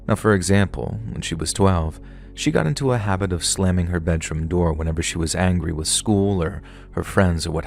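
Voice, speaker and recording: A man narrating true horror stories submitted by viewers, in a measured, unhurried way. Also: deep voice, vocal fry, a little mellow. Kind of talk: scripted horror story narration.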